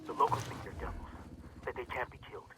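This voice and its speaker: An actor playing a grim, determined male intelligence informant who briefs soldiers in a measured way in a video game. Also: mid-range voice, over music, natural voice. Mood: serious. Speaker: intelligence handler briefing a squad. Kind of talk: video game cutscene acting.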